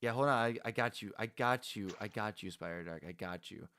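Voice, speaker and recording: A man's voice: lowish voice, brisk, pushed and bright, smooth. A man casually chatting with his viewers while playing a game on a livestream, rambling and laughing as he goes. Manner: chatty, rambling, frequent restarts and laughs, talks to viewers by name. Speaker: game streamer.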